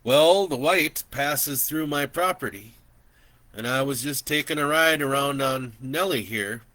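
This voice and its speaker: Old landowner , read by a man: easygoing, welcoming, slow and bright, higher than the narrator. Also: dry recording. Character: friendly older male horse-riding landowner.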